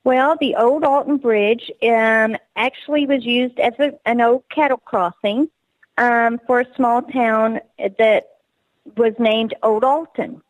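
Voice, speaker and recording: A woman's voice: on the high side, slow, dry recording, phone-quality sound. A woman with a Texas accent, a guest author telling stories earnestly on a radio interview. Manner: earnest, excitable storytelling.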